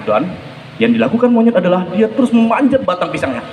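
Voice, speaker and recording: A woman tells stories to children with a very lively, swooping delivery. She often puts questions to her listeners and stretches key words, in an echoey hall with faint noise behind. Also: mid-range voice, fast talker, gravelly. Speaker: children's storyteller. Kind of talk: animated interactive storytelling to children.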